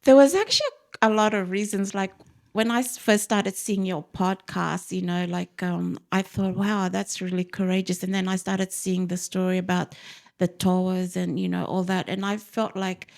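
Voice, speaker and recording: Middle-aged aussie woman, fiji-born, bit of vocal fry, talks frank and earnest, run-on thoughts. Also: fairly low pitch, fairly even intonation, moderate pace. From born in Fiji, raised in Australia. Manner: earnest, frank, speaks in loose run-on phrases. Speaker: podcast guest. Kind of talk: candid interview conversation.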